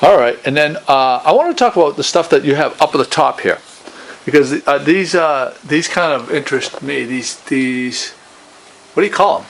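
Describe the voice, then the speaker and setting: Guy with creaky voice interviewing casually, kinda stumbly, enthusiastic slangy talk. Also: fairly high pitch, animated, normal speed, slight background noise. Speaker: interviewer, local video host. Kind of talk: casual chat, informal interview. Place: small gallery shop indoors.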